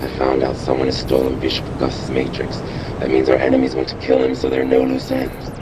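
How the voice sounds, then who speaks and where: A man playing a grave, commanding robot mission controller in a video game, terse and deadpan as he gives briefings. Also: very deep voice, a little fast, heavy background noise. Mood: serious.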